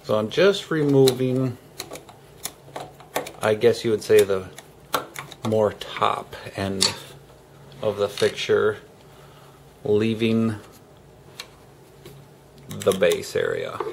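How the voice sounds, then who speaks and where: A low-voiced man walks through a hands-on job in a casual, unhurried way. His delivery is halting and broken into short phrases, with a lot of creak in the voice. Some steady room noise sits behind him. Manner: halting, pausing mid-sentence in short phrases. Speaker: homesteader host of a DIY tutorial. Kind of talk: casual hands-on how-to explanation.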